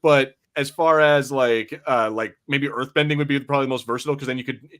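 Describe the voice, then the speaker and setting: A man's voice: mid-range voice, steady tone, fairly quick, fairly bright tone. A man hosting a casual fan podcast, talking informally and swearing freely. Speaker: podcast host. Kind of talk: casual fan panel chat.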